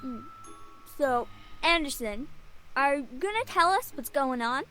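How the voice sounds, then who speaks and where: A woman's voice: high-pitched, lively intonation, unhurried, fairly bright tone, poor audio. A woman voicing a blunt, casual, inquisitive young friend in a visual novel, over music. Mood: blunt, curious. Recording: music behind the voice.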